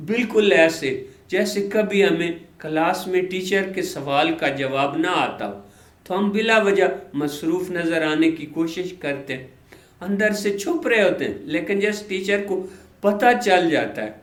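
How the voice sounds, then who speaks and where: A man narrating a scripted moral story in an expressive, dramatic way. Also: sits high. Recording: roomy echo on the voice.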